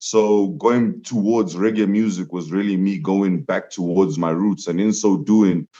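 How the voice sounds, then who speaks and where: Deep-voiced man, animated storyteller. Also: a little slow. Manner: animated storyteller, laughs often, voice jumps up for quoted lines. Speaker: musician guest. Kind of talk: casual interview storytelling. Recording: dull lo-fi sound.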